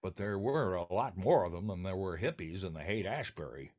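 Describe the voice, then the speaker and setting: A man's voice: low-pitched, animated, brisk, darkish tone. An older American man from New Jersey co-hosting a podcast with jokey, theatrical scripted banter. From New Jersey, United States. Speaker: podcast co-host.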